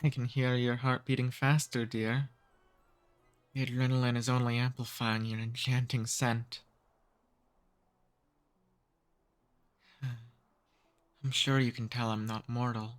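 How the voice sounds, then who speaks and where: A man's voice: medium pitch, little pitch movement, smooth, soft background music, close to an everyday voice. Man playing a courtly, seductive male vampire. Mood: predatory, soothing. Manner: courtly, slow seductive teasing. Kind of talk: ASMR roleplay, intimate address to listener.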